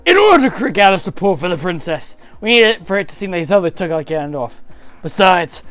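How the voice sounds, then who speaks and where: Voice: medium pitch, very animated, a little fast, a bit bright, no room sound. A stern warrior of a fantasy tale, played by an amateur reader, giving curt commands. The delivery is firm, with faint music underneath. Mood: stern, resolute. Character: stern commanding warrior ordering a princess onward. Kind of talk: amateur dramatic fantasy reading.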